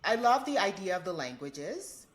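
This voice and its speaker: A woman's voice: mid-range voice, sing-song, normal speed. A woman host from Nigeria whose first language is Igbo, asking questions eagerly and sometimes cutting in with enthusiasm. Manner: eager and enthusiastic, breaks in on the guest. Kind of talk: interview questions.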